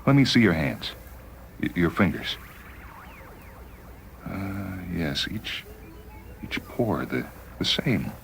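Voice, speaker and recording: A man playing a dying spaceman in a dramatised story, wistful, haunted and intense, with halting, emotional delivery. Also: sits low, big pitch swings, gravelly, slight background noise, full character voice. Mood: awed, halting. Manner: halting, emotional, repeats words. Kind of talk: dramatised short-story reading.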